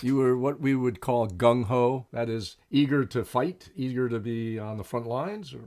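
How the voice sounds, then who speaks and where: A man hosting an interview, introducing his guest and asking measured, earnest questions. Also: mid-range voice, darkish tone. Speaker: interviewer, co-host.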